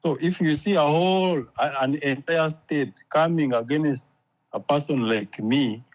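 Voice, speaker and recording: A man's voice: a little high, a little slow. Emphatic man from Uganda, interview guest. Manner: emphatic, repeats words for force. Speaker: guest, exiled writer.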